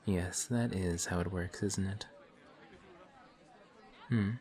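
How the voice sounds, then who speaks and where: An actor playing a courteous, formal villain who is sly and quietly taunting, in a one-sided audio roleplay. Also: male voice, sits low, steady tone, takes it slowly, some chatter behind. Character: suave, polite male villain in civilian clothes. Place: coffee shop scene with faint café chatter.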